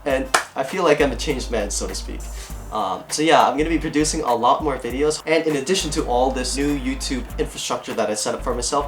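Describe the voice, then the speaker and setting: Chatty Canadian man talking to camera. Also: mid-range voice. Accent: Canadian English. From Canada, Greater Toronto Area. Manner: chatty and earnest, talks straight to viewers. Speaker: YouTuber. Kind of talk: casual direct-to-camera chat. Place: green screen room at home.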